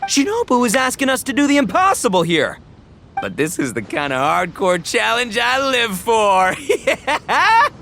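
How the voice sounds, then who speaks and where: A cocky young oni gang leader for a video game, with a forceful, bright delivery. His pitch leaps around as he boasts in exuberant slang, over a faint bed of noise. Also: wildly swooping pitch, measured pace, creaky, full character voice. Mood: fired up. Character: brash, boastful young male oni gang boss, goofy and excitable. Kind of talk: animated video game voice acting.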